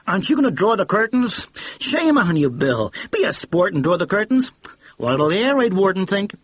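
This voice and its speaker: Male reader doing a hushed, hissing woman who turns loudly hearty; husky, dry, sing-song. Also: fairly high pitch, very animated, a little slow, dry recording, pitched above the performer's own voice, full character voice. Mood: conspiratorial. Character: woman, brassy conspiratorial young housemate.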